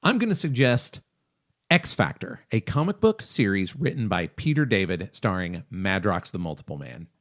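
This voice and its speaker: A man's voice: deep voice, very animated, normal speed. A man co-hosting a podcast, casually discussing and explaining his opinions in a review style. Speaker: podcast co-host.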